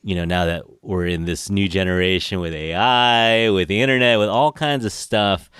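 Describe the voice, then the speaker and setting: A man's voice: deep voice, fairly even intonation, normal speed, a bit bright, clear, resonant tone, dry recording, clean studio sound. A man from the United States hosting a podcast, chatting casually and laughing often. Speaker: podcast host. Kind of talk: casual interview chat.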